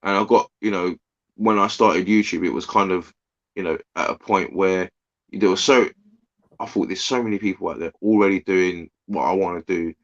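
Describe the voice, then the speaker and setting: A man's voice: sits low, fairly even intonation, moderate pace. Yorkshire man chatting over muffled audio. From Yorkshire, England. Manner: chatty, rambling, filler-heavy. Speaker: guest YouTuber. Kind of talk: casual chat on a live stream. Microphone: phone.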